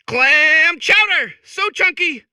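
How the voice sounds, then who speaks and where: Shrill, manic hack comedian robot. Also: male voice, expressive, slow pace, sharp tone, no echo, clean studio sound, heavily acted. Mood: manic, self-amused. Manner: zany, stammering, giggles at own jokes, showbiz patter. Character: manic, desperate, hacky comedian robot cracking itself up. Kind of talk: improvised-sounding comic video game acting.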